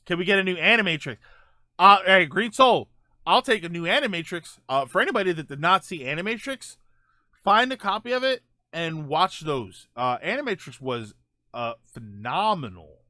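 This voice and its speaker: A man hosting a livestream, chatting casually with viewers in a halting way, often restarting his phrases. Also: high voice, very animated, sharp tone, dry recording, clean studio sound. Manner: halting, repeats phrase openings. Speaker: livestream host. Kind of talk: casual chat with live viewers, gaming and film talk.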